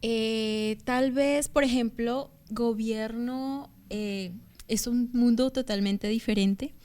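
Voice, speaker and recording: A woman's voice: on the high side, fairly even intonation, slow pace, a little mellow. A woman in her thirties from Bogotá with a Bogotá Colombian accent, talking as a guest on a television interview, chatty and full of hesitant fillers and restarts. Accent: Bogotá Colombian Spanish. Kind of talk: conversational interview testimony. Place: TV studio.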